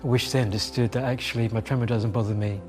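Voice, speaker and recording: A British man of average pitch co-hosts a podcast in an easygoing, reflective way. He talks conversationally and often checks in with his listeners. Soft music plays faintly behind him. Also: steady tone, fast talker. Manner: thoughtful, conversational, frequent "right?" and "you know". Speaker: podcast co-host. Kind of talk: casual podcast chat.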